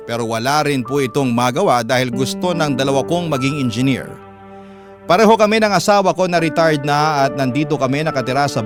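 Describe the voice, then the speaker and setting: A somewhat high male voice reads a letter as scripted dramatic narration. He sounds like a radio drama host telling a story, with music clearly playing underneath.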